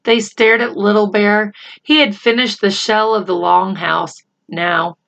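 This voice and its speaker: A woman reading a children's book aloud as narrator, unhurried and expressive. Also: mid-range voice, vocal fry, lo-fi sound. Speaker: storybook reader.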